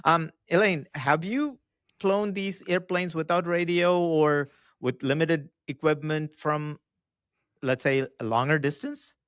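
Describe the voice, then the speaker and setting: A man's voice: fairly high pitch, fairly even intonation, unhurried, no room sound, studio quality. A man hosting a conversational interview, asking questions and sharing his own view as a pilot. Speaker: host and pilot.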